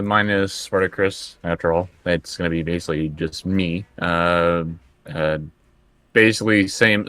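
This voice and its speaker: A man in his early thirties, a player on a tabletop livestream, chatting casually and a little haltingly. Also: low voice, a bit bright, dry recording. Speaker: co-host and player.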